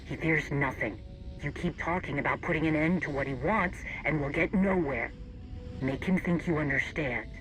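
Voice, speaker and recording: A man's voice: fairly high pitch, moderate pace, fairly bright tone, faint music behind, poor audio. A man playing a blunt, tactical warrior advisor in a sci-fi game, giving clipped instructions through a radio comms filter. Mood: firm coaching. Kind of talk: cinematic video game acting.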